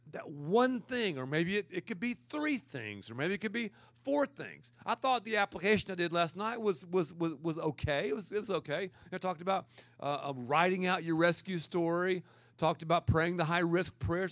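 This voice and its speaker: A pastor with a naturally loud voice who teaches with energy and repeats words for emphasis. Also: male voice, high-pitched, expressive, moderate pace, no echo. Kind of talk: energetic teaching talk to church leaders.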